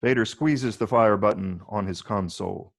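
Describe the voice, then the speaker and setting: Gravelly low-voiced man narrating a screenplay. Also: moderate pace. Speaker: narrator reading stage directions.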